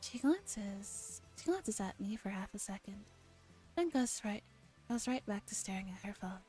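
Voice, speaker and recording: A woman streamer reading a visual novel aloud in a soft ASMR style, often dropping to a whisper. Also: mid-range voice, animated, unhurried. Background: background music.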